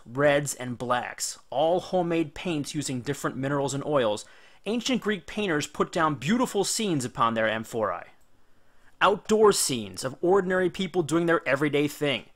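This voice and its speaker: Higher-pitched man narrating an educational video. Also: a bit bright. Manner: enthusiastic, informal, emphatic storytelling delivery.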